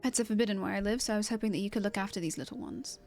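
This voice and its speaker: Woman voicing a brisk, solemn male warrior. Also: medium pitch, a little mellow, faint music behind, lightly acted. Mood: reserved. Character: proud male warrior, remorseful, earnest and grave. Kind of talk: amateur video game character voicing.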